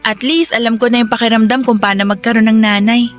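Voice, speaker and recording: An actress as a high-voiced, grateful young woman. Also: steady tone, fast, soft background music, close to an everyday voice. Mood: wistful. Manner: earnest, tender, heartfelt. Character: grateful, affectionate young woman seeking a mother. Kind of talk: Tagalog radio drama dialogue.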